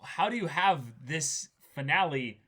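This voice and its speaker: A man's voice: on the high side, lively intonation, measured pace, sharp tone. A man talking casually as co-host of a reaction show, with a joking, irreverent manner. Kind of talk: casual chat, anime discussion.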